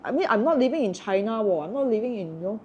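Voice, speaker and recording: Malaysian woman, fairly high voice, opinionated and lively, casual chat. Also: fast talker, highs cut off, slight background noise. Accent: Malaysian English.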